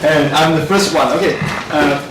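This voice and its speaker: A young man, giving a student presentation in a class, halting, with many fillers and self-corrections. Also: fairly high pitch. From Taiwan. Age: 20s. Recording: echoey, distant mic with noise.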